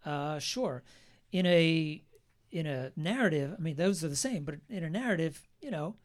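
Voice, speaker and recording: Higher-voiced man editor, dry sound. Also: animated, normal speed, no echo. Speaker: film editor on a panel. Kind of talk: panel discussion.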